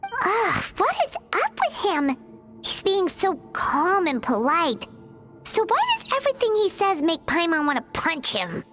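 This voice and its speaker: A woman voicing a tiny, excitable fairy companion in a video game, with a squeaky childlike voice and exclaiming manner. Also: a little slow, faint music behind, exaggerated voice. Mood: indignant. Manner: speaks of self in third person, exclaiming.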